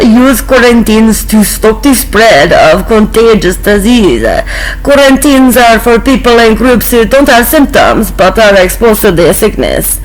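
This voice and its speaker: A woman from Canada with a voice pitched on the high side chats and reads aloud in an informal vlog. Her delivery is casual and offhand, with light music in the background. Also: rough recording. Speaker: vlogger sharing a fan song and reading news.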